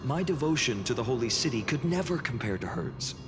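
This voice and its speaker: A man's voice: medium pitch, lively intonation, a little fast, noisy background, lightly acted. A man voicing a wry young soldier. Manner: wry, self-doubting. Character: humble young male common soldier. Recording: game music behind.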